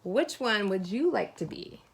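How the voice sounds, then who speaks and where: A woman teacher reading a children's picture book aloud expressively, pausing to put questions and asides to her young listeners. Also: medium pitch, animated, vocal fry, slight background noise.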